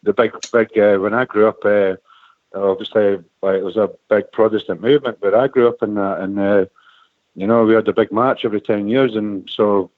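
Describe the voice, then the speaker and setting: A man's voice: on the low side, steady tone, a little fast, no echo. Edinburgh man, about forty, phone-in caller; hesitant, rambling, tinny dry phone line. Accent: Scottish (Edinburgh). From Scotland, Edinburgh. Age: 40s. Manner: rambling, hesitant, many restarts and "eh". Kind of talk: phone-in call to a fan radio show.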